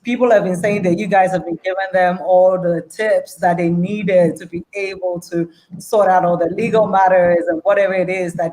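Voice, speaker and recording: A woman's voice: on the low side, warm tone, poor audio. A woman with a Nigerian accent hosting an online event in a formal, effusive manner. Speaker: event host. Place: online video call.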